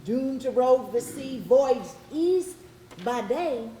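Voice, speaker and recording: A woman playing an aggrieved, haughty Spanish slaver giving formal, measured testimony in a readers theatre monologue. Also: high-pitched, animated, warm tone. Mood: weary, bitter. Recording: echoey room with faint noise.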